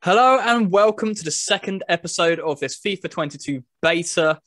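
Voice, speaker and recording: A man's voice: sits high, lively intonation, normal speed, loud music behind the voice. A man hosting a casual video chat about games, talking as a podcast presenter. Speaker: podcast host presenting a game review video.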